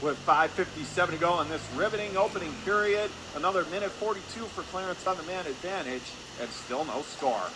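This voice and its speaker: A man calling live ice hockey play-by-play for radio in clipped phrases, getting excited on scoring chances. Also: high voice, fairly quick, few pauses. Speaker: play-by-play announcer. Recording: clear background noise, arena ambience.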